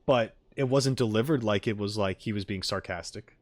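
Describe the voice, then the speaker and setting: A man's voice: fairly low pitch, normal speed, no echo. A man hosting a casual reaction livestream, chatting with viewers in a rambling, playful way and often repeating his points. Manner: playful, jokey banter. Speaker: livestream host reacting to game content. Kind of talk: casual chat with live viewers.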